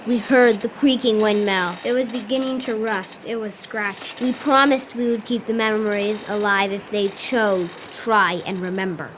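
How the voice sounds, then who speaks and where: A young female performer playing a wistful spirit of the winds, telling of the past in a solemn, measured way. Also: medium pitch, fairly even intonation, slight background noise, lightly acted, poor audio. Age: child or young performer. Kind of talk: school radio drama acting.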